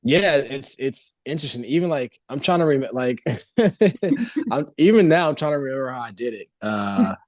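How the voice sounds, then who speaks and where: A man in his thirties with a very deep voice, talking as a voice actor guest in a casual interview, rambling with many fillers and restarts. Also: expressive, moderate pace, a little mellow, no echo.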